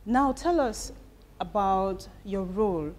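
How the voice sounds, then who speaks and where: A woman's voice: medium pitch, animated, takes it slowly, darkish tone, slight background noise. A woman presenting a television programme in a formal announcer manner. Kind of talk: scripted introduction and interviewing.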